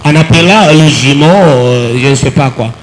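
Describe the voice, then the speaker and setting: A man's voice: on the high side, normal speed, low-quality recording. Man explaining with an audible hum. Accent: Congolese French. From Bukavu, DR Congo. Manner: explanatory, repeats syllables.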